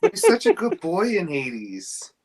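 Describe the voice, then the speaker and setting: A man's voice: a little high, huge pitch swings, slow pace. A man hosting a casual, joking group podcast, talking in a hesitant, rambling way. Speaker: podcast host.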